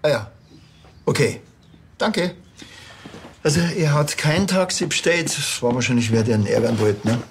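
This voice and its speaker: A man's voice: on the high side, unhurried, long pauses, a little hiss or hum. An actor playing a wry, laid-back male police officer with a dry joking manner in a TV drama.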